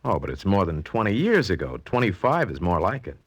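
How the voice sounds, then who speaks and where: Breezy, skeptical thirtyish man, lowish voice. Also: sing-song, a little fast, slightly rough, heavily acted. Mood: puzzled. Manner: breezy, exasperated, colloquial. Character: easygoing man in his thirties, skeptical, teasing heir. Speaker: actor. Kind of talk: 1940s radio acting.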